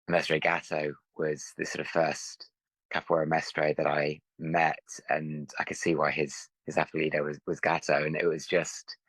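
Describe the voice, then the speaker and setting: A man hosting a podcast interview, welcoming his guest and asking polite, measured questions. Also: rumbling low, flat delivery, a bit bright, husky, dry recording. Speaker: podcast host. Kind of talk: interview host.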